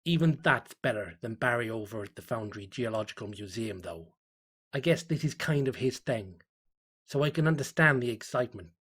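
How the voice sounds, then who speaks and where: Guy playing a grumpy small town fisherman, bit husky, dry and sweary, reading out his diary. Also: medium pitch, expressive, moderate pace, a bit bright. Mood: weary grumbling.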